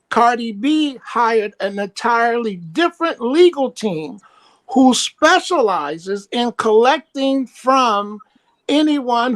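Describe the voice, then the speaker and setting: A woman's voice: on the high side, low-quality recording. A woman calling in to a talk show, blunt and opinionated, with a teasing edge. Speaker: caller. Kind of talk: casual chat.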